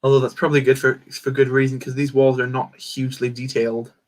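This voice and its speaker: A man's voice: medium pitch, flat delivery, fast talker, darkish tone. A man hosting a casual livestream, rambling and answering chat comments while he builds. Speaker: livestream host.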